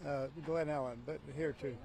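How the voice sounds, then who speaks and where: A man's voice: on the high side, fairly even intonation, moderate pace, darkish tone, a little hiss or hum. A man in his seventies from Oklahoma with a slight Oklahoma accent, giving laid-back, brief answers in a street interview.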